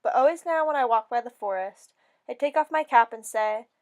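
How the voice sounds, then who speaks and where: A woman giving a theatrical, expressive dramatic reading of a first-person fairy story, as a storyteller. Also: fairly high pitch, a little fast.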